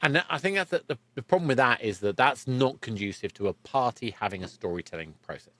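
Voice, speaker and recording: A man's voice: mid-range voice, expressive, quick pace, a bit bright, dry recording. A man hosting a podcast, explaining things conversationally and repeating words for emphasis. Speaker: podcast host.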